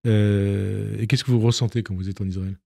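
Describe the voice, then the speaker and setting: A man hosting a radio interview, prodding his guest with questions and tagging them with a quick "hein?". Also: on the low side, fairly even intonation, vocal fry, dark tone, no echo, clean studio sound. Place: radio studio.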